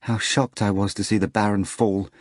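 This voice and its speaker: A man's voice: fairly low pitch, steady tone, normal speed, dry recording, studio quality, natural voice. Man voicing an earnest young woman.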